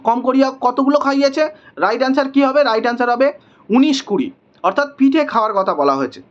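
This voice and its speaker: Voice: mid-range voice, animated, a little fast. A teacher giving a question-and-answer exam lesson in a didactic way, repeating questions and answers for emphasis. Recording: slightly dull lo-fi sound.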